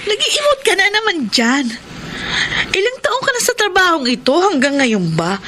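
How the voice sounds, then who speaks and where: A woman's voice: extremely high pitch, big pitch swings, moderate pace, bright, forceful tone, full character voice. A Filipino woman playing a gossipy, blunt, worldly bar hostess in a radio drama. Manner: nosy, frank, scolding. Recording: noisy ambience behind.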